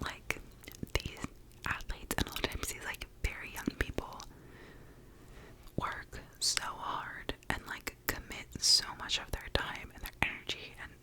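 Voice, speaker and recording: A young woman whispering in her apartment, chatting casually as she tries out makeup, with lots of "like" and the odd swear word. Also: rough recording. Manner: whispers the whole time. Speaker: ASMR vlogger. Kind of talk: whispered ASMR makeup chat.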